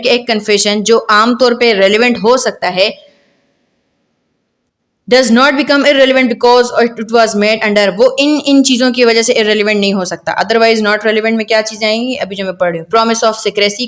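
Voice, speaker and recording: Female lecturer, higher-pitched voice; explains step by step, restating points and posing questions to learners. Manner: didactic, repeats and rephrases points with rhetorical questions. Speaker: law lecturer. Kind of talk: explanatory law lecture mixing Hindi-Urdu and English.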